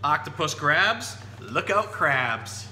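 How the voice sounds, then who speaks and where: Bright, forceful man hosting kids' storytime. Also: on the high side, takes it slowly. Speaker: zookeeper presenter, storytime host. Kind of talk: casual educational talk for children, picture-book reading. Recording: roomy echo, steady background hum.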